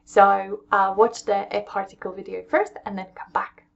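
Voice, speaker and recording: Young English woman, home language teacher, gravelly voice, dull webcam audio. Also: medium pitch, little pitch movement, moderate pace, a bit bright. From England. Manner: patient, explains step by step and checks in with "okay?". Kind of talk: casual explanatory lesson.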